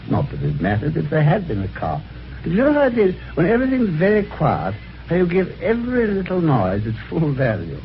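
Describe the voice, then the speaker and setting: Jaunty British gentleman, wildly animated pitch. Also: creaky. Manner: jaunty, wry, old-fashioned gentlemanly phrasing. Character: genial, chatty, helpful husband telling his adventure. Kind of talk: 1950s radio drama acting and first-person narration. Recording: dull, noisy old radio recording.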